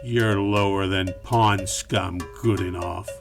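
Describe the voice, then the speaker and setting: An actor playing a melodramatic, wisecracking private eye hero who is easily flustered, in a campy noir radio satire. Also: male voice, low-pitched, steady tone, slow pace, vocal fry, music in the background, exaggerated voice. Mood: defiant.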